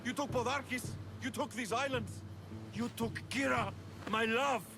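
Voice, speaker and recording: A bitter, jealous young soldier. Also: male voice, extremely high pitch, unhurried, vocal fry, over music, full character voice. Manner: resentful, rising anger. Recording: game audio with loud ambient noise.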